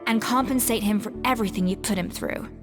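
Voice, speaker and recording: A woman's voice: medium pitch, fast talker, in a large hall, over music, close to an everyday voice. A woman playing a proud, principled young head chef in a video game, speaking in an earnest, firm, formal manner. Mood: resigned, firm.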